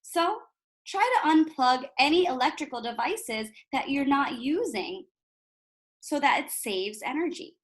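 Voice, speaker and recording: A woman with a high voice reads a picture book aloud for young children. Her delivery is expressive and instructive, stepping out of the text to explain things and put little questions to her listeners. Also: a little slow.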